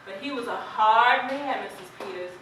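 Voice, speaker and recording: A woman's voice: fairly high pitch, normal speed, fairly bright tone, close to an everyday voice. A woman playing a plain-spoken, regretful farm wife in a rural period stage play, with a folksy, emphatic delivery. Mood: wistful. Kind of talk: stage play acting, early 1900s rural American drama. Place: stage performance recorded from audience distance. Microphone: distant camera. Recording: echoey hall from far off, noisy.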